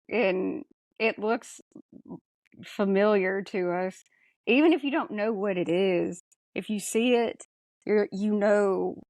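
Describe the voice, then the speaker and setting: A woman's voice: medium pitch, gravelly, no room sound, studio quality. A woman co-hosting a podcast in casual chat, with an informal, emphatic way of talking. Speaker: podcast co-host. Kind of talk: casual chat about a sci-fi film.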